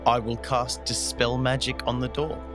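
A man's voice: mid-range voice, little pitch movement, normal speed, over music. A man playing a tabletop game, casually declaring what his character does. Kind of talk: casual roleplay.

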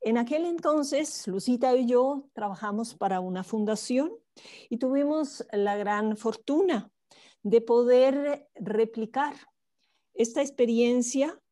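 Slow mellow lady on a webinar panel, hesitant, lots of ehs. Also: fairly high pitch. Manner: hesitant, many fillers. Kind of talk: webinar presentation.

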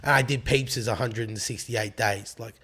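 Laid-back raspy Australian man. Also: medium pitch, fairly even intonation, normal speed, pushed and bright. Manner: laid-back, swears freely, filler-heavy. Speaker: rapper guest. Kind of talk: casual podcast chat.

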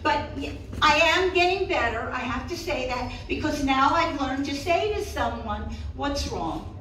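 A woman's voice: sits high, expressive, bright, forceful tone. Older lady comedian, self-deprecating and raunchy, recorded from a distance in a really echoey room. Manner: bawdy, self-deprecating, punchy delivery with drawn-out pauses. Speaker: stand-up comedian. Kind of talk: live stand-up comedy routine. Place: small cat cafe room with audience, indoors. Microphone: handheld microphone, recorded by camera from audience. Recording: roomy, echoey, distant mic, some room noise.

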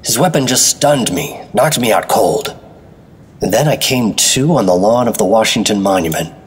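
An actor playing a hard-boiled special agent narrating a retro radio noir in a husky voice. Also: male voice, fairly low pitch, animated, normal speed. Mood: grim, brooding. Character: hard-boiled male special agent recounting his ordeal.

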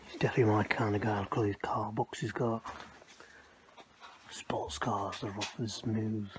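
A man filming as he explores an abandoned house indoors, talking casually and often in a low, half-whispered voice to his camera. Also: steady tone, moderate pace. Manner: hushed, half-whispered remarks while exploring. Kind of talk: casual walkthrough narration. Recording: noisy handheld camera audio.

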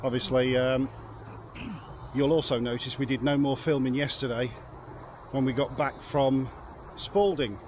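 A man's voice: mid-range voice, fairly even intonation, a little slow, a little mellow, slight background noise. A man in his fifties from England, chatting casually and jokily to a handheld camera while walking around outdoors. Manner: chatty, jokey, rambling with restarts. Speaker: vlogger. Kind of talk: casual travel vlog chat. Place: outdoors in town streets and a garden. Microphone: camera held at arm's length.

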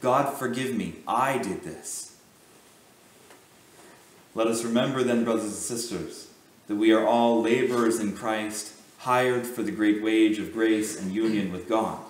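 Low-voiced priest preaching in an echoey church. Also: male voice, far from the mic. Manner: measured, earnest preaching with deliberate pauses. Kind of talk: sermon.

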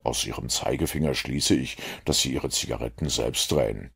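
A man voicing a cool, shrewd gentleman detective in an audiobook, with a measured, dry, authoritative manner. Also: extremely low pitch, gravelly, breathy edge, voice pushed down, heavily acted. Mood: observant, composed.

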